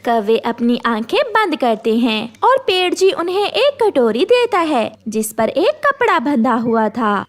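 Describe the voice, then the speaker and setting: A woman's voice: sits high, sing-song, unhurried, hardly any pauses. A woman narrating a scripted children's story.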